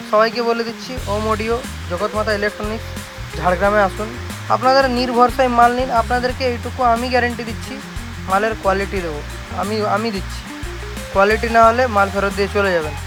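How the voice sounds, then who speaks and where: A shop owner with a West Bengal accent giving an informal, insistent, repetitive promotional talk to viewers. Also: medium pitch.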